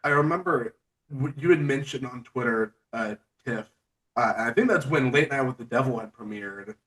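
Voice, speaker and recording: A man's voice: mid-range voice, normal speed, fairly bright tone, recorded from a distance, rough recording. A man hosting a casual interview in a friendly, eager, conversational way. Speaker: podcast host and film reviewer.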